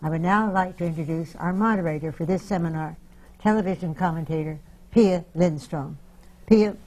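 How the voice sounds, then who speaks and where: A woman's voice: fairly low pitch, normal speed, noisy background. A woman chairing the board, giving a formal scripted introduction to a seminar.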